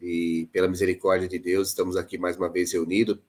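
A man's voice: deep voice, little pitch movement, fast talker, a little mellow. A man in his forties with a cold and a nasal voice, leading a prayer group on a video call in a friendly, chatty way. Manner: friendly, chatty, lists names. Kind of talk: casual chat on a video call. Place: indoor room with a plain wall. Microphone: earphone headset mic on a video call.

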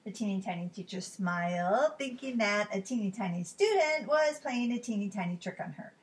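A middle-aged woman reading a children's book aloud in an expressive, deliberate teacher's manner at home. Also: medium pitch, a little hiss or hum. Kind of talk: read-aloud children's storytime. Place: home living room.

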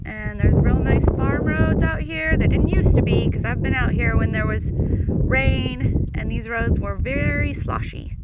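A woman giving a friendly sales tour of farmland, recorded outdoors and from a car. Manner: slow, friendly sales pitch. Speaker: real estate agent. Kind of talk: property tour narration. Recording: loud wind noise on a phone mic.

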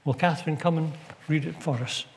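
A man preaching a sermon as a visiting minister, measured and deliberate with long pauses. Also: a little high, steady tone, vocal fry, a little hiss or hum. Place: stone church. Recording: long church reverb.

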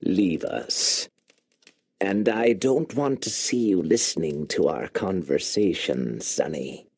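A man voicing a sly, menacing pirate rogue with a raspy, gravelly voice in an audiobook. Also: low-pitched, animated, darkish tone, no echo, studio quality, full character voice. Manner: slow, sly, shifting from wheedling to threatening. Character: gravelly menacing pirate, pale one-handed sea rogue. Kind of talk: audiobook character voice.

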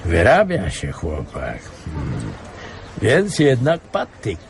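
A world-weary, wry investigator in a radio drama. His creaky voice takes its time and swings widely in pitch, over clearly audible background noise. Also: wildly swooping pitch, slow, full character voice. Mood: wry, teasing. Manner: wry, grunting, offhand. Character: sardonic, world-weary male veteran investigator advising younger detectives. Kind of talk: radio play dialogue.

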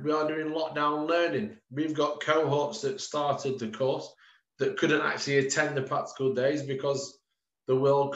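Man, thirties, coach presenting webinar, informal with ums, echoey room, lo-fi webcam sound. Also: medium pitch. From United Kingdom. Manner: informal, addresses listeners as guys, frequent ums. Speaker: strength and conditioning coach presenting courses. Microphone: video call. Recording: roomy, dull lo-fi webcam audio.

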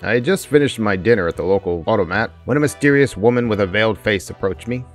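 A man's voice: fairly low pitch, animated, fast talker, faint music behind. A man playing an intrepid, confident private detective in a theatrical old-time radio serial style. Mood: showy. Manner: unflappable, theatrical. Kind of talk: 1940s radio detective acting.

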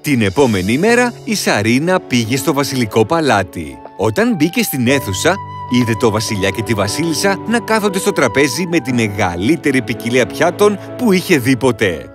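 A man narrating a cartoon fairy tale in a dramatic, expressive storytelling manner. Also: mid-range voice, music in the background.

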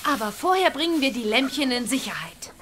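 A woman's voice: high voice, very animated, fairly quick, fairly bright tone, a little hiss or hum. A woman playing a spirited, determined young witch girl in a children's radio play, eager in manner.

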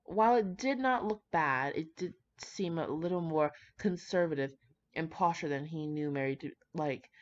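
A woman's voice: low-pitched, normal speed. A young woman reading fan fiction aloud in an informal, slangy way, stumbling over words here and there. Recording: dull, muffled lo-fi home recording.

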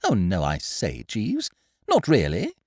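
A man voicing a breezy, chummy young gentleman of leisure who frets easily. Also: fairly low pitch, wildly swooping pitch, slow pace, gravelly, husky, dry recording, studio quality, heavily acted. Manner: breezy, chummy upper-class banter.

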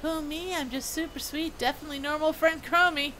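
A woman's voice: sits high, steady tone, brisk, pushed and bright, slight background noise, pitched above the performer's own voice, low-quality recording. A woman voicing a sugary sweet female gnome from a video game, gushing and suspiciously over-innocent. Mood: saccharine, innocent.

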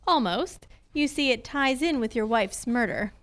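A woman's voice: fairly high pitch, animated, unhurried, fairly bright tone. A young woman playing a sassy, suspicious female private eye in a 1940s-style radio drama, with an arch, wry manner. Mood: poised. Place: live on a stage before a curtain. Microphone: stand microphone.

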